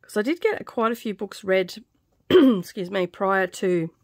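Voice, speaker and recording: A middle-aged woman hosting a craft vlog in casual chat, with a slightly croaky, scratchy voice, dry and tired after illness. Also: medium pitch, lively intonation, a little slow, a little mellow, dry recording. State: recovering from covid, tired, dry throat. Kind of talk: casual stitch-along chat. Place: small indoor craft room.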